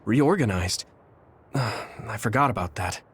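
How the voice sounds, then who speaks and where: A man's voice: on the low side, animated, slow pace. An actor playing an earnest, thoughtful young male platoon leader in a video game dub. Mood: troubled, questioning.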